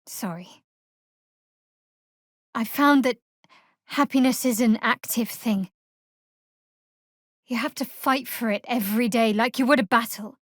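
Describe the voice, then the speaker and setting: A young female cleric sworn to a dark goddess in a fantasy video game. Her voice sits a little high, with a measured, cool and guarded delivery. She sounds secretive and dry in manner, captured in a very dry studio-like recording. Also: no room sound. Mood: earnest, resolute. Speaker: video game character actor.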